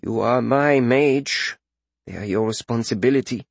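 A man voicing an unflappable warrior king in an audiobook, calm, clipped and matter-of-fact. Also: medium pitch, a little slow, gravelly, dry recording. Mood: cool certainty. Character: cool, commanding male warrior king, unflappable.

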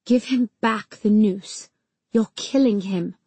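A woman's voice: mid-range voice, slow pace, darkish tone, breathy edge, no room sound. Woman as a earnest, pleading fantasy heroine. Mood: desperate.

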